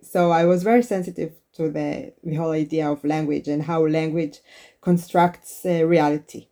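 A woman's voice: sits low, steady tone, normal speed, warm tone. A woman in her thirties with an Israeli accent, a native Hebrew speaker, speaking earnestly as an interview guest with some uhs. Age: 30s. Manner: earnest, with uhs and small slips. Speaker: guest, activist.

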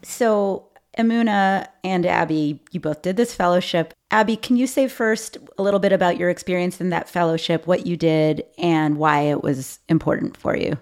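A woman hosting a podcast, reading a scripted introduction and interviewing guests in a measured, clear way. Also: fairly low pitch, darkish tone. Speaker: podcast host.

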